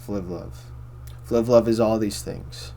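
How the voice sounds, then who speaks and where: A man with a baritone voice hosting a casual philosophy monologue, rambling and repeating himself with many fillers. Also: fairly even intonation, takes it slowly, creaky, dark tone, slight background noise. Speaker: host.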